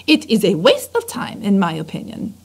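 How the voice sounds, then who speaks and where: A woman's voice: mid-range voice, huge pitch swings, moderate pace, gravelly, husky, heavily acted. A woman voicing a haughty, dismissive mother mouse with a put-on French accent. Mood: scornful. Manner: dismissive, mocking.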